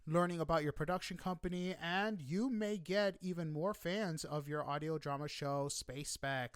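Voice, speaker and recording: A man hosts a casual interview podcast in a fairly high, very clear voice. He is upbeat and chatty, encouraging as he talks. The sound is dry and close, with little room to it. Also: fairly bright tone, no echo.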